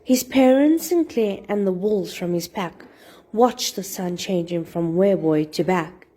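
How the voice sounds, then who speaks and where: A woman telling rhyming fairy tales to children as an expressive storyteller and host. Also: on the low side, unhurried.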